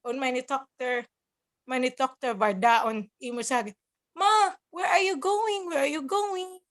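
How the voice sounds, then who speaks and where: A woman's voice: sits high, a little slow. A woman from the Philippines with a Filipino accent, a nurse being interviewed, who halts to search for words and laughs often. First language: Cebuano. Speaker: guest, nurse working abroad. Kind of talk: casual interview chat mixing German and English.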